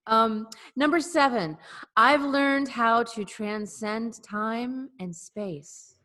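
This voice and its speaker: A woman with a fairly high voice reads out a comic list on a video-call podcast with a wry, giggly delivery. Her audio has a long, echoing reverb. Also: slow, sharp tone. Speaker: podcast co-host. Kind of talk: casual chat over video call.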